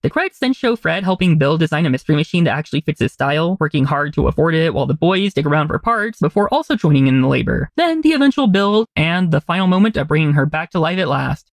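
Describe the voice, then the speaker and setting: A man with a somewhat high, very animated voice delivers scripted video essay commentary at a brisk clip. He sounds earnest and conversational, and the recording is close and dry with no room sound. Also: dry recording, faint music behind. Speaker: video essayist and channel host.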